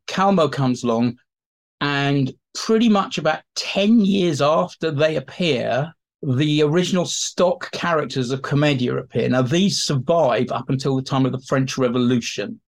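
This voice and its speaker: A man with a mid-pitched voice talks as an interview expert. He explains history informally and conversationally, dropping in playful asides and little acted quotes as he goes. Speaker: expert guest.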